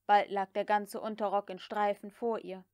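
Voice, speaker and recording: A woman's voice: medium pitch, level tone, moderate pace, darkish tone, no echo, clean studio sound. A woman reading literary prose as an audiobook narrator.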